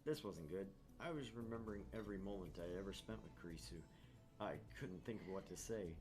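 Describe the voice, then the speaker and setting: A man with a lowish voice narrates a visual novel as a gaming host. He slips between reading and casual asides, with music playing behind him. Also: quick pace.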